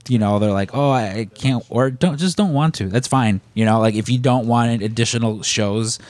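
A man's voice: medium pitch, moderate pace, darkish tone, dry recording. A man from the Chicago area with a Chicago accent, co-hosting a podcast in casual, rambling, joking chat. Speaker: podcast co-host.

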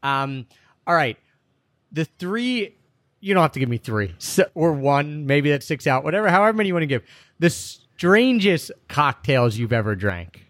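A man's voice: fairly high pitch, big pitch swings, normal speed, fairly bright tone, no echo, studio quality. A man hosting an interview, asking loose, chatty questions and often restarting them. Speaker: interview host.

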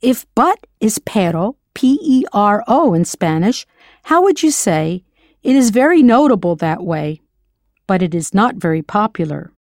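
Female narrator, English-speaking, clear and encouraging, dry studio sound. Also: mid-range voice, very animated, darkish tone, no echo. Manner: clear, encouraging, slows down to model pronunciation. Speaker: language teacher. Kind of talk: scripted audio lesson narration.

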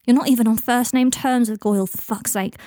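A woman's voice: fairly high pitch, steady tone, brisk, mellow, no room sound, clean studio sound, pitched above the performer's own voice, lightly acted. A woman voicing a hurt, exasperated young man in an audiobook story, pleading and accusing with emphasis. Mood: bitter, accusing.

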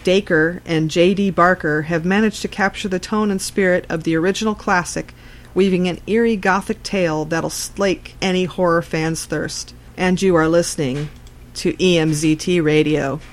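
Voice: mid-range voice, steady tone, normal speed. A radio host reading scripted promotional narration.

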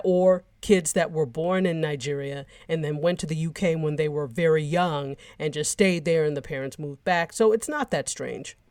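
Casting: American male co-host, fairly high voice, close dry recording, conversational. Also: smooth, no echo. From United States. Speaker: podcast co-host reviewing TV shows. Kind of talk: casual discussion.

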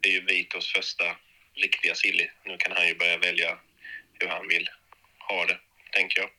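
Male co-host, very deep and flat, measured delivery. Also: poor audio. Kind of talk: casual chat.

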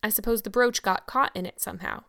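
A woman reading an audiobook voices a stern, sharp-tongued older farm woman who talks in a brisk, scolding, no-nonsense way. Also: medium pitch, vocal fry, dry recording, studio quality. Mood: bewildered.

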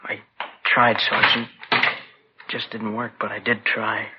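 An actor playing an emotional, smothered twenty-two-year-old son with a husky voice in a 1950s radio drama. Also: lowish voice, monotone, slow pace, heavy background noise. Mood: defeated. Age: young adult. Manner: emotional, earnest. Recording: dull, lo-fi 1950s radio broadcast.

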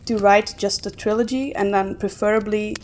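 A woman with a medium-pitched voice talks casually as a podcast co-host. She feels her way through opinions in halting phrases, thinking aloud. There is some noise behind her voice. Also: fairly even intonation, a little mellow. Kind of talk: casual book discussion.

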